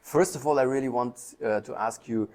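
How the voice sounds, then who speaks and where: A man's voice: mid-range voice, moderate pace, darkish tone. A German man interviewing a guest in a chatty, casual way, with frequent fillers and hesitations. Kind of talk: friendly hosted interview.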